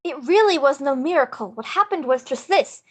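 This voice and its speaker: An earnest young farm girl played by a woman tells her tale in rhyme. Her voice is high, with a rhythmic musical-script delivery. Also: expressive, moderate pace. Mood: earnest, lively.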